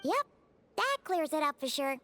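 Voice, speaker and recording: A woman voicing a small, chirpy, excitable fairy-like girl companion in an animated video game style, with music behind. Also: sits high, normal speed, exaggerated voice. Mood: upbeat, helpful. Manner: refers to self in third person.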